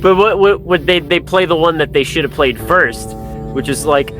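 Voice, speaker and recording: A man's voice: a little high, moderate pace, fairly bright tone, faint music behind. A man co-hosting a podcast, talking about films in an informal, wry, laid-back way. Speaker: podcast co-host. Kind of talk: casual film discussion.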